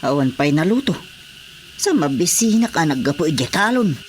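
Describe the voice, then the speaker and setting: An aggrieved, complaining farmer husband. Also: male voice, fairly high pitch, very animated, moderate pace, a little hiss or hum. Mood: frustrated. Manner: complaining, insistent. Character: aggrieved farming husband fed up with his wife. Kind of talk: radio drama acting.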